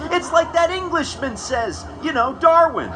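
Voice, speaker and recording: Very high, flippant young heir, a man acting. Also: lively intonation, normal speed, a crowd in the background. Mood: amused, mocking. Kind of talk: radio drama dialogue.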